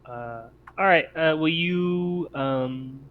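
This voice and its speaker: A man's voice: a little high, slow pace. A man refereeing a tabletop game as game master, thinking aloud and accommodating as he improvises rulings. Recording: muffled mic with noticeable background noise.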